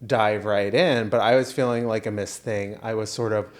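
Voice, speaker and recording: A man's voice: on the low side, steady tone, normal speed. Playful man bantering on a comedy podcast. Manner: playful, self-mocking storytelling. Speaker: podcast co-host.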